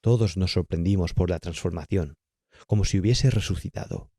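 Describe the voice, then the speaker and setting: A man's voice: deep voice, moderate pace, mellow, no echo, clean studio sound. A man with a Castilian Spanish accent narrating a horror audiobook in a scripted, dramatic storytelling style.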